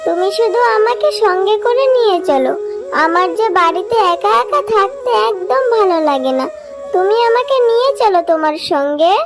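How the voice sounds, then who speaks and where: A woman's voice: very high voice, expressive, moderate pace. A woman voicing a sweet, eager young fox girl in a children's cartoon, with a childlike, wheedling manner. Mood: pleading. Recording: music playing behind the voice. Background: children's music.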